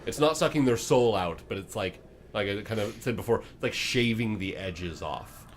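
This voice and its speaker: A man working as game master, improvising descriptive narration for a tabletop game in a halting way. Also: on the low side, lively intonation, a little hiss or hum.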